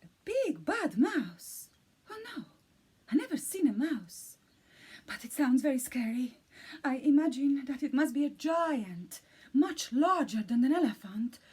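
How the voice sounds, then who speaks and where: A woman's voice: high voice, very animated, a little slow, a little mellow, pitched above the performer's own voice. A woman voicing a timid, easily frightened elephant in a children's storybook reading. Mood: panicky. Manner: fearful, fretting.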